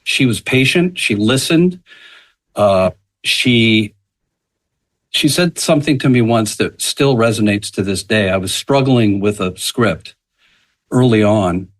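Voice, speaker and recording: A man who writes for television, telling anecdotes on a podcast in emphatic bursts, restarting phrases as he goes. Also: medium pitch. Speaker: television writer, panel guest. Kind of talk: anecdotal recollection in a podcast conversation.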